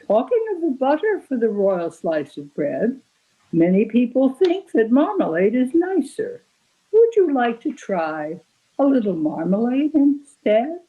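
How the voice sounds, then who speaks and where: A woman voicing a gentle, coaxing queen in a soothing way for a storybook poem. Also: a little high, big pitch swings, unhurried, phone-quality sound.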